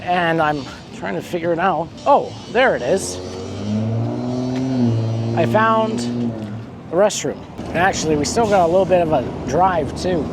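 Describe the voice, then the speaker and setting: A woman with a croaky, failing voice chats to camera on a road trip. She jokes and mocks herself cheerfully. Loud music runs behind her talk. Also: fairly low pitch, a little slow, pauses often. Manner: joking, animated, self-mocking. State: hoarse, losing her voice. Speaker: travel vlogger. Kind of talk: casual on-camera vlogging.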